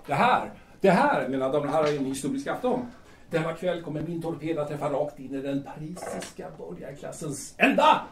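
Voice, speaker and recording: In a distant, very echoey recording with faint noise, a man plays a grandiose young male playwright in an audio drama. His voice sits fairly high. He is agitated and theatrical, circling back over his own words. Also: lively intonation. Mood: agitated, defiant. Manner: agitated, theatrical, repeats himself.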